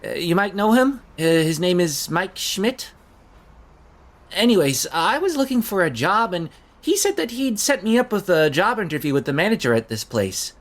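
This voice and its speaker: A woman's voice: on the low side, sing-song, normal speed. A woman playing an awkward, nervous young job seeker in an audio drama, hesitant, stammering and rambling. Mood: defensive, explaining. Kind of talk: naturalistic audio drama acting.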